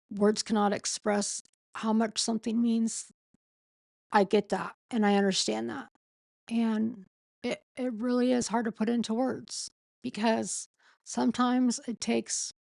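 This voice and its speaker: Slow-talking woman with a sore voice. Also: a little high, flat delivery, gravelly, a little mellow, no room sound, studio quality. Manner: slow, halting reading with pauses and self-corrections. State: losing her voice, sore throat. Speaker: streamer reading a court filing. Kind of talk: casual late-night live stream.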